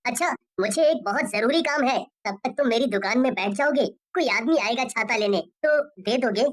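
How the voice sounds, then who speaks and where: A performer voicing a humble, kind male shopkeeper, a loving husband and father who speaks gently and earnestly in a cartoon story. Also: medium pitch, normal speed, voice pushed down, natural voice. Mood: puzzled, plain.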